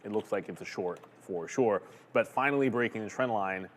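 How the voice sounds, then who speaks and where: A man's voice: fairly low pitch, normal speed, in a large hall, a little hiss or hum. A man from Canada co-hosting a live trading stream, giving dry short interjections and market commentary in casual chat.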